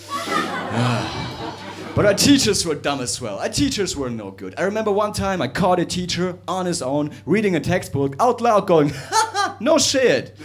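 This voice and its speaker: Man, young adult, stand-up comic, animated delivery, varied pitch, club set. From Russia. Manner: animated, conversational, jumps between fast and drawn-out delivery. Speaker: stand-up comedian. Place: comedy club with live audience.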